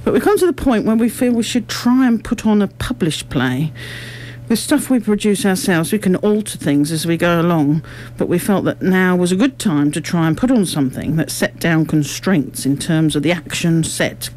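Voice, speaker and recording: A woman's voice: on the low side, normal speed, darkish tone. A woman giving articulate, explanatory, prepared-sounding answers in a radio interview. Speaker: amateur drama group member being interviewed. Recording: noticeable background noise.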